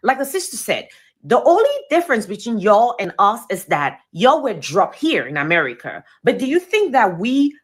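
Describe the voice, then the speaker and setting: A woman's voice: medium pitch, sing-song, normal speed, husky. A woman from Sierra Leone with a thick Sierra Leonean accent, frankly and exasperatedly telling about her experiences. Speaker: video clip speaker. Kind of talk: personal testimony.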